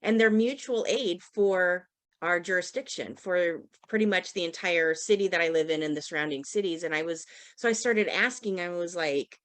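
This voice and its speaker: A woman's voice: on the low side, normal speed, fairly bright tone. An American woman co-hosting a podcast, chatting casually and telling stories in an informal way. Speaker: podcast co-host, dispatcher. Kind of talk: casual chat.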